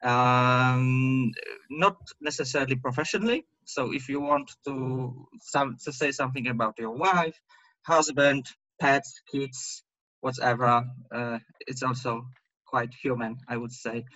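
A man hosting a video call meeting, speaking haltingly with many pauses and fillers. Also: medium pitch, bright, forceful tone, dry recording, lo-fi sound. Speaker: meeting host.

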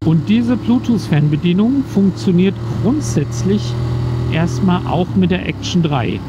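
A man's voice: sits high, a little slow. A middle-aged German man riding a motorcycle and casually explaining camera gear in a rambling way, full of fillers, through a helmet mic. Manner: relaxed, rambling with many fillers. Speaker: motovlogger reviewing action cameras. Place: riding a motorcycle outdoors on country roads. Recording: muffled helmet mic with wind and engine noise.

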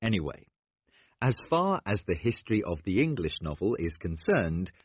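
A man speaking in the measured, formal style of an academic lecture. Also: sits low, very animated, slightly rough, no echo. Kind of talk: academic lecture and question, clearly delivered. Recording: thin phone-like audio, dry.

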